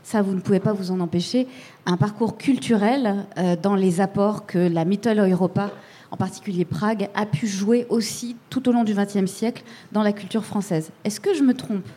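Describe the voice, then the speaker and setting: A woman's voice: mid-range voice, fairly even intonation, moderate pace, warm tone. A woman interviewing a guest, asking a long, winding question.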